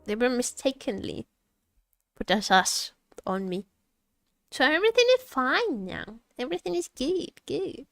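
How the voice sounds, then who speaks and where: A woman's voice: sits high, sing-song, unhurried, creaky, no echo, studio quality. A woman game streamer chatting casually and playfully over gameplay, often repeating her phrases. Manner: playful, repeats phrases, teasing role-play as a vampire. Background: video game music.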